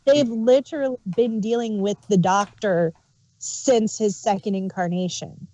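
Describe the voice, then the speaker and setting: A woman's voice: medium pitch, measured pace, warm tone, no echo, rough recording. A woman co-hosting a review show in casual, conversational talk. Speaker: podcast co-host reviewing audio dramas. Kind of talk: casual chat.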